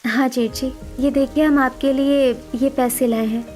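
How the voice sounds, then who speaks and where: A caring, well-to-do sister-in-law, played by a woman, speaks in a high voice. She is affectionate, earnest and coaxing in a cartoon family story. Music plays behind her. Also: fairly even intonation, unhurried, a little mellow. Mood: persuasive. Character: caring, persuasive, wealthy younger sister-in-law. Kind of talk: Hindi cartoon family melodrama.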